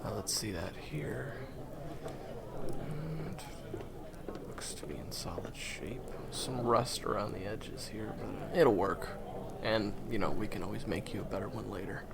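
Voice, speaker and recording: A man playing a friendly, reassuring guide and former hunter in a fantasy game audio roleplay, talking conversationally to the listener with hesitant pauses. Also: fairly low pitch, creaky, very noisy. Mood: reassuring, helpful.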